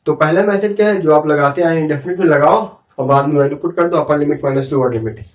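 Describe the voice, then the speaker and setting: A male maths teacher with an Indian accent speaks in a slightly high voice, with a sore throat. He explains informally, one step at a time, repeating points and checking understanding. The sound is roomy and echoing, picked up some way from the microphone. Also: fast talker. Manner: repetitive, step-by-step explaining with frequent check-ins. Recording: echoey room, distant muffled mic.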